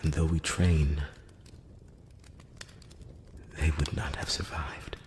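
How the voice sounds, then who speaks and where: A man voicing a solemn, probing elf emissary in a breathy whisper, speaking formally and deliberately in a close-up ASMR fantasy roleplay. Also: a bass voice, level tone, a little mellow, reverberant, a little hiss or hum. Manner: slow, deliberate, formal, with long pauses. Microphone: close-up microphone.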